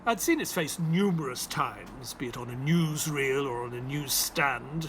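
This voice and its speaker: A man playing a polite, inquisitive English doctor in an audio drama, expressive and well-mannered. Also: medium pitch, a little slow, slight background noise. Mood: reflective, storytelling. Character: polite, inquisitive English doctor narrating his detective adventures. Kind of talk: scripted first-person audio drama narration.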